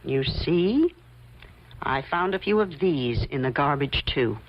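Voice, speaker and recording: A woman's voice: deep voice, lively intonation, slow pace, slight background noise. An actress playing a shrewd, coolly confident woman investigator in an old-time radio mystery, insistent and pointed. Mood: coolly explaining. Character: shrewd, probing woman investigator laying out her theory. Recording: muffled old radio drama recording.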